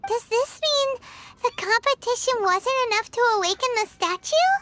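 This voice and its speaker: A woman voicing a tiny, excitable, cheeky fairy companion in a video game, squeaky and childlike, with exclamatory delivery. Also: normal speed, background noise. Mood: puzzled, curious. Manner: exclamatory, exaggerated, talks of self in third person. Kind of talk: English video game voice acting. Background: music.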